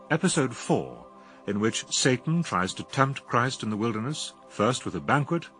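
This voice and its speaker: A man's voice: medium pitch, fairly bright tone. A man reading a formal scripted announcement as a radio continuity announcer.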